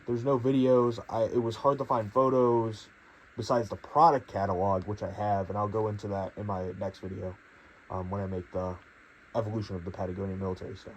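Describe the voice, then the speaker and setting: A young man, his voice a little hoarse from a cold, talks through a piece of gear in an easy, meandering way. He sits slightly low in pitch and hedges often, with plenty of fillers. A faint background hum runs underneath. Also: low-quality recording. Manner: rambling, hedging, many fillers. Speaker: gear reviewer. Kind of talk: casual product review.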